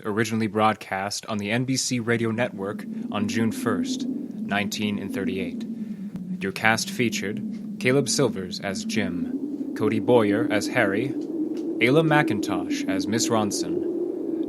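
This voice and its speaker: A man reading scripted credits as the announcer of a radio drama. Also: fairly low pitch. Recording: loud background noise under voice.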